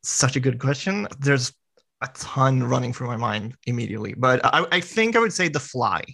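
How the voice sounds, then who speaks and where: A man's voice: medium pitch, lively intonation, gravelly, pushed and bright, breathy edge. A man from Sweden, a guest on a podcast, talking casually with lots of fillers and restarts. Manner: halting, with many restarts and fillers.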